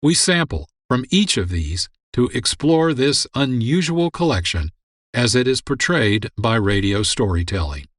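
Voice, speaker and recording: A man with a mid-range voice hosts a radio program, reading scripted documentary narration at a measured delivery. The sound is clean of any room echo. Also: mid-range voice, fairly bright tone, clean studio sound. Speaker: producer and host.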